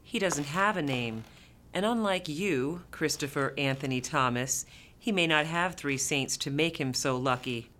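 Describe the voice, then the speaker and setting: A woman's voice: low-pitched, measured pace, fairly bright tone. A woman acting in a radio play as a stern, disapproving nurse who speaks formally and with authority. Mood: cold, firm. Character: stern, officious, disapproving female neonatal nurse.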